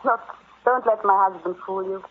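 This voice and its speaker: Lady playing a scared serious wife in an old radio horror play, breathy husky voice, pleading, sounds like a phone line. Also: mid-range voice, moderate pace, close to an everyday voice. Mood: earnest. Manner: earnest, dramatic. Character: serious, frightened explorer wife, earnest and pleading. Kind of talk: old-time radio drama acting. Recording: tinny, phone-like vintage radio recording.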